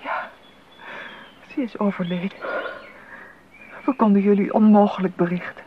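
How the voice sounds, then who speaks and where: An actress playing a sorrowful noblewoman in a Dutch radio drama, speaking gravely and haltingly on a muffled old recording. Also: medium pitch, fairly even intonation, close to an everyday voice. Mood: distressed, grieving. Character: sorrowful noble mama bearing grave news. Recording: muffled 1980s radio recording, faint noise.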